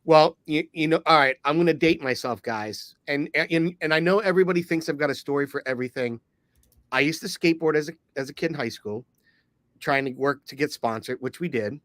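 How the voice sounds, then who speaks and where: A man's voice: fairly high pitch, a bit bright, no echo. Rambling middle-aged man from Philly. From Philadelphia. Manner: rambling storyteller, quick asides. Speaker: podcast co-host. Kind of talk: casual podcast chat.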